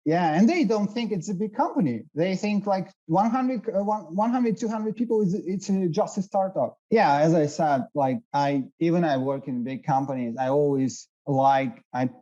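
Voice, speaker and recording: A man, a guest answering questions haltingly while searching for words. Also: on the high side, mellow, muffled recording. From Russia. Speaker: guest, SEO director. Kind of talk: casual interview.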